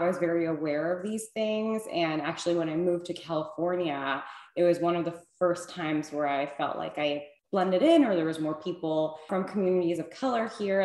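Woman, 30s, clinician guest, thoughtful and earnest, slightly low voice, echoey room. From Illinois, USA. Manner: earnest, thoughtful, many fillers. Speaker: author and clinical psychologist guest. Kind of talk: interview answers.

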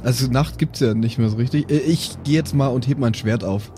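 A man's voice: mid-range voice, a little fast, background noise. A man playing in an improvised tabletop audio play, bantering casually in slang and stumbling over his words. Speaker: tabletop player.

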